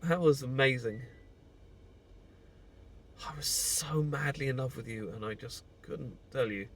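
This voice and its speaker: A man's voice: medium pitch, unhurried, fairly bright tone, slight background noise. A British man acting a heartbroken, newly separated friend in an intimate audio roleplay, stammering and self-deprecating as he shyly confesses an old love. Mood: fond, nostalgic. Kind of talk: intimate audio roleplay, naturalistic monologue to the listener.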